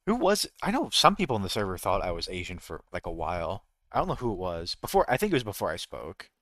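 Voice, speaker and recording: A man's voice: low voice, huge pitch swings, quick pace, a bit bright, no room sound, clean studio sound. A man with an American accent chatting casually as a game streamer, rambling and jokey, often correcting himself mid-thought. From United States. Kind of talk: casual livestream chat.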